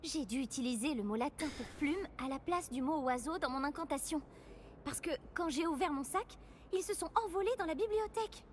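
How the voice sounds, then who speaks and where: A woman's voice: high-pitched, brisk, a little hiss or hum, close to an everyday voice. An actress voicing an earnest, bookish young schoolgirl witch in a video game, speaking politely and explaining her troubles. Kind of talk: video game character dialogue.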